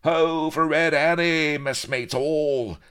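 A man's voice: a little high, fairly even intonation, slow pace, a bit bright, clean tone, voice pushed up. A man voicing rowdy, bawling pirates who roar out their cries in a story reading. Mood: rowdy greeting. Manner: bawling, roaring.

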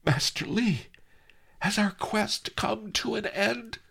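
A man's voice: high-pitched, lively intonation, slow pace, gravelly, fairly bright tone, husky, pitched above the performer's own voice, exaggerated voice. A man voicing an earnest young peasant man, his voice lifted in wonder. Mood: astonished.

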